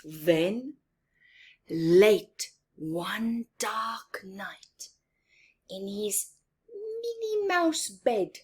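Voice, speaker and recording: Female picture-book reader for young children: expressive and dramatic, breathy edge, lots of pitch movement, hushed asides. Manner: theatrical, emphatic, dropping into whispers; asks young listeners questions and comments on pictures. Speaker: storyteller for children.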